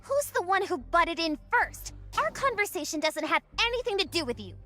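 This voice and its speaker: A woman's voice: extremely high pitch, big pitch swings, moderate pace, bright, forceful tone, soft background music, exaggerated voice. A woman voicing a defiant, blunt young female rebel in an anime-style game, bold and challenging. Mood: scornful.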